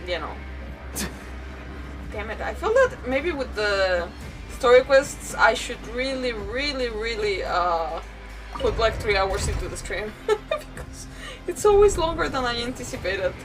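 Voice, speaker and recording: A woman's voice: a little high, sing-song, measured pace, far from the mic, poor audio. A woman livestreaming a video game with casual, playful commentary, often muttering to herself and breaking off mid-thought. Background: game music.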